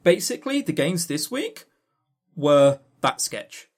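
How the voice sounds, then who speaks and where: A man co-hosting a podcast, chatting casually and opinionatedly about games in a halting, animated way. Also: on the high side. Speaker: podcast co-host.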